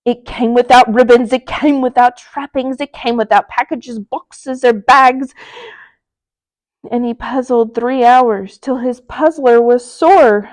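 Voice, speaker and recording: A woman narrating a children's story aloud in a dramatic, emphatic, expressive way. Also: on the high side, slow, no room sound. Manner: dramatic, emphatic, with hushed drops. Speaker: storybook reader.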